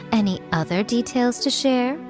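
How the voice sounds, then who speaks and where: A woman voicing a poised, playful shrine priestess in a video game, teasing and formal. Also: a little high. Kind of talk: animated video game voice acting.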